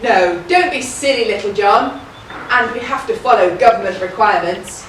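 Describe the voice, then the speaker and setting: A woman's voice: medium pitch, moderate pace, natural voice. A woman playing a boisterous merry forest outlaw in a stage pantomime, declaiming in an exaggerated way. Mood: bantering. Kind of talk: broad pantomime stage acting. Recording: echoey hall from far off, faint noise.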